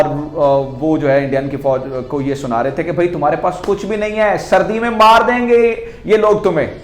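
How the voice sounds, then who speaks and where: A man with a Pakistani accent speaks in a fairly high voice, recorded in a clearly echoey room. He delivers political commentary as a video host, talking conversationally to the viewer. His explanations are emphatic, built on rhetorical questions that he answers himself. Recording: echoey, reverberant room sound.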